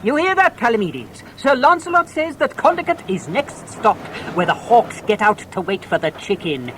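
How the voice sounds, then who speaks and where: A man's voice: high-pitched, very animated, normal speed, fairly bright tone, a little hiss or hum. Oily, swooping sham clergyman. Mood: sly, chuckling. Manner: pompous, chuckling, ending remarks with "what?". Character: scheming sham clergyman, effusive, oily and falsely jovial. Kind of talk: dramatised audiobook acting.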